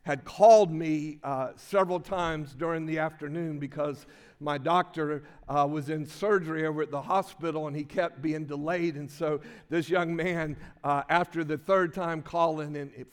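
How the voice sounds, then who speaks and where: Older male pastor, folksy sermon storyteller, slightly high voice, long church reverb. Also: normal speed. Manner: folksy storytelling with many restarts. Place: church sanctuary.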